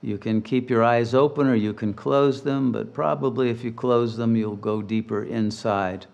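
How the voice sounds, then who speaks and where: A man leading a guided meditation as a teacher, speaking in measured, deliberate, soothing phrases with long pauses. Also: mid-range voice, steady tone, vocal fry, a little mellow, highs cut off.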